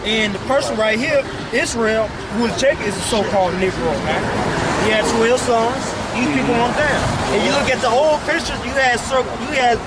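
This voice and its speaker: A man with a very high speaking voice argues hard in an outdoor street debate and keeps repeating his points. A loud crowd and traffic noise surround him. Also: normal speed. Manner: insistent, repeats himself.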